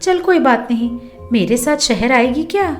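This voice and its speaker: Woman as a bold, high-voiced young wife. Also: animated, normal speed. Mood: curious. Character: bold, determined young city-bred wife in a storybook tale. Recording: music bed under the voice.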